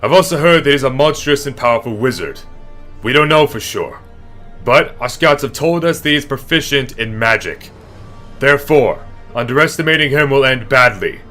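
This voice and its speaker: A man's voice: medium pitch, animated, sharp tone, soft background music. A man playing a stern, solemn military captain who speaks formally and with command in a fantasy audio drama. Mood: grave, commanding. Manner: formal, commanding, deliberate oratory.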